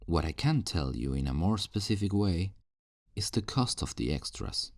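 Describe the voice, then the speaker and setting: A man playing a calm, kindly afterlife assistant in an ASMR roleplay, patiently explaining things in a low, gravelly voice. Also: moderate pace, no echo, clean studio sound. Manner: patient, reassuring, explanatory customer-service talk. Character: calm, kindly male afterlife assistant selling souls new lives. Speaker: voice actor in audio roleplay. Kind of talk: ASMR roleplay, soft-paced scripted one-sided conversation.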